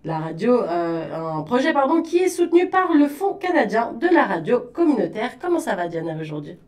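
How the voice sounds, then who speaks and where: A woman's voice: on the high side, sing-song, brisk. Chatty woman radio interviewer. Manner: chatty, informal. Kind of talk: casual conversational interview.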